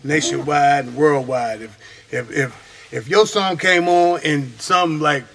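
A man's voice: a little high, takes it slowly, fairly bright tone. A man hosting a live show, interviewing a guest and hyping him up to the audience. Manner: hyped, addresses audience as "y'all". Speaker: host.

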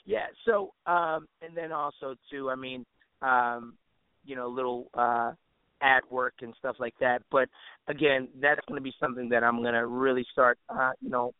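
A man with a mid-pitched voice gives a casual interview as a music artist. He talks in a loose, slangy, rambling way. The recording is dry and narrow, like a telephone call. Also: steady tone, moderate pace, smooth, dry recording. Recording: narrow tinny phone-line sound.

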